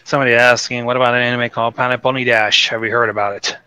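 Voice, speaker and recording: A man's voice: medium pitch, level tone, rapid-fire, pushed and bright, clean tone, dry recording. A man appearing as a podcast guest, explaining his opinions casually and swearing freely.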